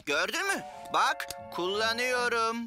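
A woman voicing a cocky, mischievous young boy in a cartoon, boastful and excitable. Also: a little high, takes it slowly. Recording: loud cartoon music behind.

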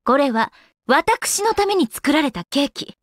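An actress voicing an elegant, teasing young goddess in a Japanese video game, with refined, polite and slightly haughty manners. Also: female voice, sits high, big pitch swings, measured pace, no echo, clean studio sound. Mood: polite, composed. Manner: polite, haughty ojou-sama refinement with playful coyness.

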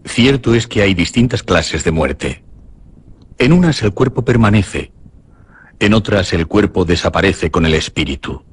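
An actor, a man, playing a grave sage reciting solemnly in a radio drama, with a rough husky voice. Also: low voice, expressive, measured pace, full character voice. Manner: solemn, declamatory. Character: grave male sage reciting teachings about death.

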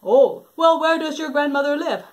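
A woman's voice: sits high, moderate pace, pitched above the performer's own voice, close to an everyday voice. A woman voicing a sly big bad wolf who sweet-talks a little girl in a storybook reading. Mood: coaxing. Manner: falsely friendly, coaxing.

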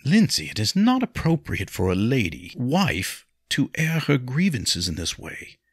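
Casting: proud, indignant nobleman acted by a male narrator, formal storybook delivery, gravelly and expressive. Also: medium pitch, measured pace, no echo, studio quality, voice pushed up, full character voice. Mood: shocked, stiff. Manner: formal, forceful. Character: haughty young nobleman, proper, indignant and uneasy.

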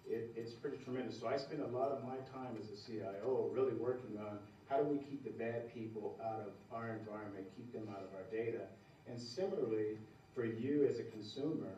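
A man's voice: fairly low pitch, warm tone, slight background noise. A middle-aged man at a podium giving a conversational keynote speech, often repeating words as he goes. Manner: conversational, repeats words, gestures while speaking. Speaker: keynote speaker. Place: large dark event hall, at a podium. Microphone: podium mic, recorded from afar. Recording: roomy hall sound from far off, dull.

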